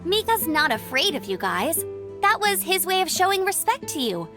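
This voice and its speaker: A woman's voice: very high voice, sing-song, normal speed. A woman playing an upbeat, chatty young female scout in a video game, bubbly and informal. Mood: explaining. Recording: background music.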